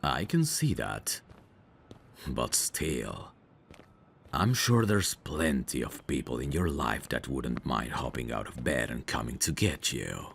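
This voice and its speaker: Dangerous male mafia boss, played by a voice actor, ASMR audio drama: slow, lively intonation, self-assured teasing, protective streak. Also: very deep voice, gravelly, slight background noise, heavily acted. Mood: teasing, probing.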